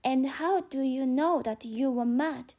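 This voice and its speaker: A polite young storybook girl, played by a woman, earnest and full of questions. Her voice is high and her pace slow, with a non-native English accent. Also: warm tone. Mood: puzzled, polite. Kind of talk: audiobook character reading.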